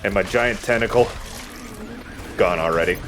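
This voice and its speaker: A man's voice: on the low side, moderate pace, noisy background. A man giving casual, joking commentary as he plays and hosts a let's play. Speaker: let's play host and player. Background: video game music and combat sounds.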